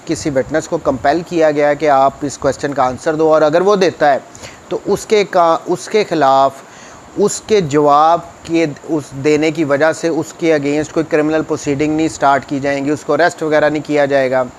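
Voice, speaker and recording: A man with a Pakistani accent teaches in a somewhat high-pitched voice. He reads formal passages aloud, then explains them plainly, repeating key points and mixing in English. There is noticeable noise behind him. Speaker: law lecturer, advocate.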